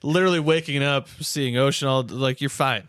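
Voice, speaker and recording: A man's voice: medium pitch, a little fast, a bit bright, smooth, no room sound. A man hosting a casual sports podcast, bantering bluntly and teasing, with some swearing. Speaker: podcast host.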